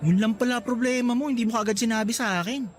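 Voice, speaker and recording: A man's voice: very high-pitched, fast, faint music behind. A man playing a cheeky, friendly young co-worker who jokes at his own expense in radio drama dialogue. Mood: boastful, teasing. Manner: playful, teasing, animated. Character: cheeky, friendly male co-worker, self-mocking joker. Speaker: drama voice actor.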